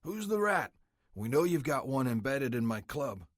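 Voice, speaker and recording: A man voicing a gruff, menacing older biker club president who delivers clipped threats. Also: mid-range voice, big pitch swings, normal speed, no echo, studio quality, pitched above the performer's own voice.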